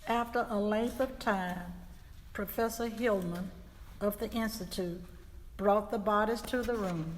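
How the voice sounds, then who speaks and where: A woman's voice: medium pitch, steady tone, a little hiss or hum, lightly acted. A woman playing a grieving 19th-century widow, recounting events solemnly in a dramatic reading. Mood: sorrowful, subdued. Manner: slow, deliberate, solemn storytelling. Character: grieving widow recounting her husband's murder, 1875 Mississippi. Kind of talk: dramatic reading of historical testimony. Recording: reverberant hall.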